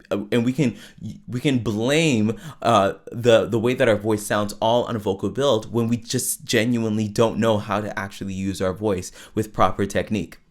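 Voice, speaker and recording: A man with a lower voice, hosting a podcast and explaining singing to listeners in a loose, chatty way, full of restarts and tag questions. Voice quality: lower voice with falsetto access. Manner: conversational, many fillers and restarts, frequent "right?". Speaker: podcast host and vocal coach. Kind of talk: casual teaching monologue.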